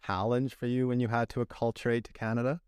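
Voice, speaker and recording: A man's voice: medium pitch, little pitch movement, quick pace, a little mellow, clear, resonant tone, no echo, clean studio sound. A young man hosting a casual podcast interview, making his points earnestly and rambling with restarts. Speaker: podcast host.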